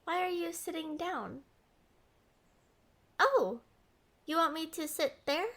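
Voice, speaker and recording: A young woman acting a playful, headstrong, lovestruck princess in an expressive roleplay audio. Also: extremely high pitch, takes it slowly, full character voice. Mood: relieved, fond. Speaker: voice actor.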